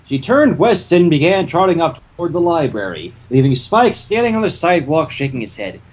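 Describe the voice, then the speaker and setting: A man's voice: on the high side, sing-song, a little fast, darkish tone, rough recording. A man co-hosting and narrating a live fan fiction reading, with casual banter between passages. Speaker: narrator and co-host.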